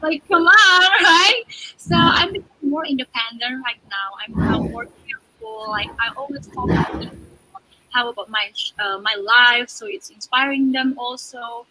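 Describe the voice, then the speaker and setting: A young woman with an Indonesian accent, a pageant contestant giving earnest, halting answers in an interview. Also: sits high, animated, sharp tone, a little hiss or hum, poor audio. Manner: earnest, halting non-native English.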